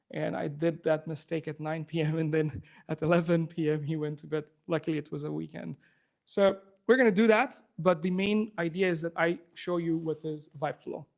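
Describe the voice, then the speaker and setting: A man from Afghanistan, a software engineer, giving an informal live tech demo to a room audience on a handheld microphone, talking fast with many restarts. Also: a little high, creaky. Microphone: handheld or clip microphone.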